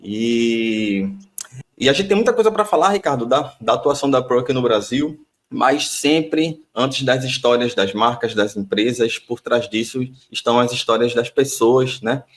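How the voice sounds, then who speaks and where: A man's voice: medium pitch, moderate pace. A man from Brazil hosting a podcast interview, friendly and curious, often repeating words as he asks questions. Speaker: podcast host.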